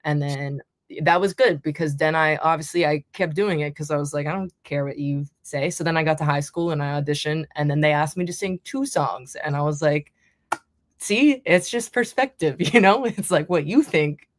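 A young woman singer-songwriter with a deep, slightly raspy speaking voice, talking casually in an interview and rambling with many restarts. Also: normal speed, no room sound. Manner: rambling, lots of likes and restarts.

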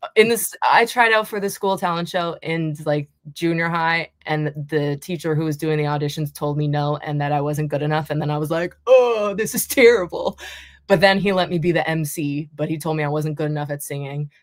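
Young woman w a deep little rasp, chatty and rambly, dry close sound. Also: brisk, no echo. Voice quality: slight rasp in a deep speaking voice. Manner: rambling, lots of likes and restarts. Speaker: singer-songwriter guest. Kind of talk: casual interview talk.

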